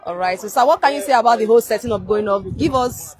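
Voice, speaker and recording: A man's voice: high-pitched, fast talker. A man interviewing people on site at a large venue, polite and addressing guests as sir and ma. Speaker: interviewer with camera. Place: large outdoor expo venue. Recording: loud crowd behind. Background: crowd noise.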